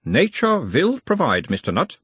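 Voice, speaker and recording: A man's voice: fairly high pitch, huge pitch swings, a little slow, fairly bright tone, slightly rough, dry recording, voice pushed up, heavily acted. A man voicing an insistent analyst persona in an audiobook, formal and clinical. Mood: coaxing, firm. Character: insistent, probing male analyst persona of a hypnotised creature.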